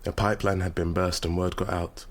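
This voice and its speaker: Low male voice, actor playing young militant son, defiant, bitter, proud, accusatory, radio drama. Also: level tone, fairly quick, lightly acted. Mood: proud, insistent. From London, England. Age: young adult. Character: defiant, bitter grown son turned Nigerian oil-rights militant.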